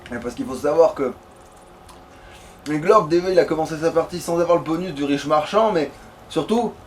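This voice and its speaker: A man's voice: on the high side, moderate pace, darkish tone. A man giving casual let's play commentary, thinking aloud in slangy, crude language. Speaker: let's player. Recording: faint background noise.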